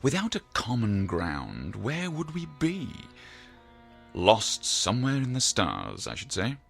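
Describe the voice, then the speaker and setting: An actor playing a calm, pedantic psychiatrist in an audio drama, speaking in a measured, slightly pompous, professorial way. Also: male voice, lowish voice, vocal fry, soft background music, exaggerated voice. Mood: lecturing. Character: calm, pedantic male psychiatrist, lecturing and reassuring.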